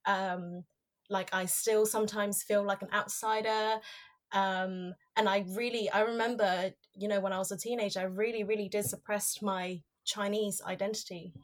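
A woman's voice: medium pitch, level tone, moderate pace. A young woman from Hong Kong, a native Cantonese speaker, answering a podcast host's questions candidly and reflectively, with many fillers and restarts. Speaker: podcast guest, researcher. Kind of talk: candid conversational interview.

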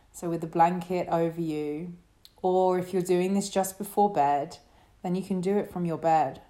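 A woman leading a guided relaxation meditation as a yoga teacher, speaking in a soothing, gentle way. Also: lowish voice. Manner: soothing, gentle, unhurried instruction.

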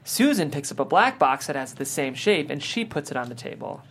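A man's voice: on the high side, big pitch swings, a little fast, fairly bright tone, a little hiss or hum. A man hosting a script read and narrating stage directions.

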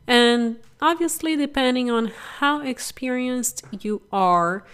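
Middle-aged female podcast host, raspy from a recent illness, lower pitch, chatty and upbeat. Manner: chatty, upbeat, informal asides. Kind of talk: solo podcast explainer.

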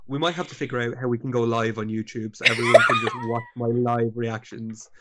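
A man's voice: mid-range voice, wildly swooping pitch, a little fast, very noisy. A man with an Irish accent on a casual podcast, complaining dramatically and mocking himself with laughter. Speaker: podcast co-host. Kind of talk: casual chat.

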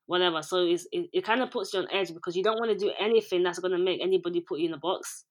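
A woman in her twenties from England, a director and producer, speaking in a calm, even, rather monotone way as an interview guest. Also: fairly low pitch, racing. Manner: calm, even, self-described monotone delivery. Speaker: music video director and producer. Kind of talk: interview guest, casual conversation.

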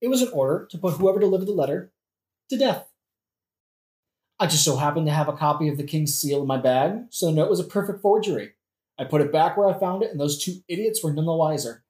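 A woman's voice: low voice, lively intonation, fast, mellow. A woman playing a sarcastic, melodramatic young prince in a modern comedic audio play, with theatrical delivery. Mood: smug. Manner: theatrical, sardonic. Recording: roomy echo.

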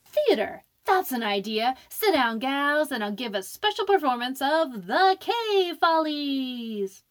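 Actress as a brash young woman, high and lively. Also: slow pace, heavily acted. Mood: breezy, playful. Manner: slangy, breezy, blunt. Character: brash, wisecracking, impulsive young woman roommate. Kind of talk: old-time radio drama acting.

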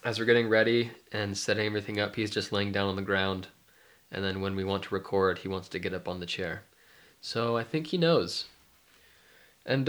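Young man, congested nasal voice, lowish pitch, casual podcast chat. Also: a bit bright. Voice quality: nasal, stuffy. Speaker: podcast co-host, mindfulness life coach.

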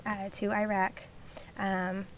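A woman's voice: mid-range voice, little pitch movement, slow pace, slight background noise. A woman from Southern California, a podcast guest, answering in a hesitant way with many ums and easy laughs. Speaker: podcast guest, army veteran. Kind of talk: casual interview.

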